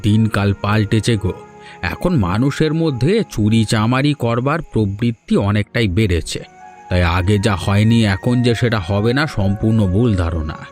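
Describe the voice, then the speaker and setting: A man's voice: fairly low pitch, lively intonation, normal speed, darkish tone, soft background music, natural voice. A man voicing a confident village advisor in a cartoon, speaking in a didactic, reasoning way. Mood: advising.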